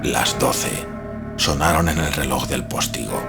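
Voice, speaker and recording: Deep-voiced man narrating gothic horror. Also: vocal fry, over loud music. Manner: measured, dramatic storytelling. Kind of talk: scripted literary horror narration.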